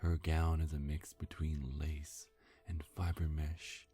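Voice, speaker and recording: An ASMR storyteller whispers in an extremely low register. The delivery is very slow and deliberate, with long pauses. Faint music runs behind the hushed narration. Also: level tone.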